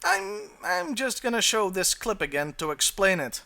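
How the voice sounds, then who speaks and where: A man's voice: high voice, wildly swooping pitch, normal speed, gravelly, sharp tone. A man narrating a scripted countdown review as the host.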